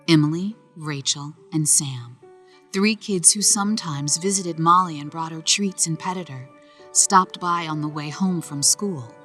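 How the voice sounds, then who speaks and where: A woman reading a children's story aloud in a slow, gentle storytelling manner. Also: deep voice. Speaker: narrator. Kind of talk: children's read-aloud narration.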